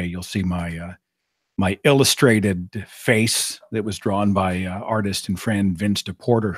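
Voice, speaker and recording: A middle-aged man with a raspy voice, hosting a casual live-stream chat and rambling along with plenty of fillers. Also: on the low side, no room sound, clean studio sound. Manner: rambling, conversational, with frequent fillers. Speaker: podcast host.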